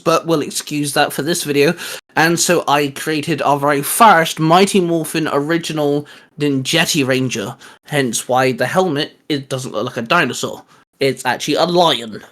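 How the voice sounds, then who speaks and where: Young guy on as guest artist, bit higher voice, rambly casual explaining. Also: normal speed, fairly bright tone.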